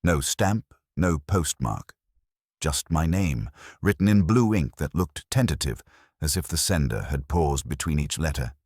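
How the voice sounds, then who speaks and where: A man reading a first-person detective story as scripted narration, at an even, unhurried pace. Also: a bass voice, breathy edge, no echo, clean studio sound.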